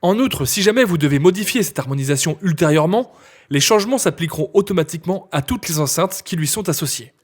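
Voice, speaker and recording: Male instructor, slightly high husky voice, scripted step-by-step software tutorial read. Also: expressive, fast talker.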